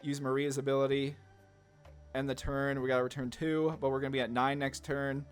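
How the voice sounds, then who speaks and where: A man's voice: mid-range voice, flat delivery, a little fast, smooth. A man giving casual, rambling commentary on a card game, thinking aloud in slangy, informal speech. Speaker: gaming content creator. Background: background music.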